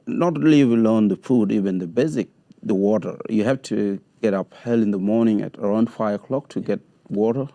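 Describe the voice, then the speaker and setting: A man from answers interview questions. His low, dark voice sounds dry and close, and he speaks in measured, halting phrases with grammar. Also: no echo. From South Sudan. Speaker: guest, community leader.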